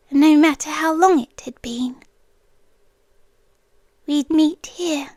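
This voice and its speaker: A British woman playing a wistful, devoted, inhuman childhood friend from the forest in an ASMR roleplay, speaking softly and intimately and often slipping into whispers. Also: high-pitched, no room sound, clean studio sound. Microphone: close, dry studio-style microphone.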